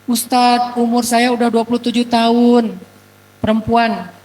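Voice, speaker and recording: A man's voice: very high voice, completely flat delivery, unhurried, darkish tone, a little hiss or hum, pitched below the performer's own voice. A preacher mimicking a worried young woman. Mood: anxious. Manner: pleading, repeating the preacher's title. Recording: echoey mosque hall.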